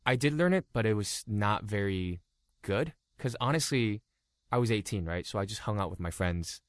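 Low-voiced casual man from Los Angeles. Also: moderate pace, no room sound, clean studio sound. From Los Angeles, California. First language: Cantonese. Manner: relaxed, many "like" fillers. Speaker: guest YouTuber. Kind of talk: casual interview storytelling.

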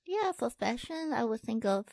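A woman from Taiwan with a Taiwanese accent, chatting informally as an interview guest in halting phrases. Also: on the high side, very animated, gravelly, darkish tone, dry recording. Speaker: interview guest, tattoo artist. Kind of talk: casual chat.

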